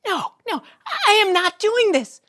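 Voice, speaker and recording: A woman's voice: very high-pitched, lively intonation, a little slow, a bit bright, pitched above the performer's own voice, heavily acted. A woman doing a blunt, exasperated woman.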